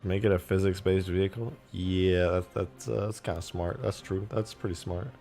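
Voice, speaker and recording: Deep-voiced guy streaming while he mods, thinks out loud and reads chat, voice going a bit hoarse, faint music behind. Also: steady tone, moderate pace. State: losing his voice, slightly hoarse. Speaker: modding streamer. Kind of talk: casual live-stream commentary.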